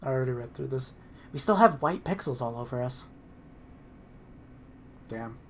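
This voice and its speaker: Mid-pitched man reading a game aloud. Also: expressive, normal speed, a bit bright, a little hiss or hum. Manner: sweary. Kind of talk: narration and casual banter.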